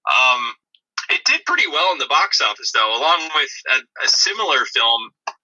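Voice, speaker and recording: A man's voice: mid-range voice, sing-song, moderate pace, sharp tone, husky, rough recording. A man co-hosting a movie review podcast, chatting in a dry, disparaging way. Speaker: podcast co-host.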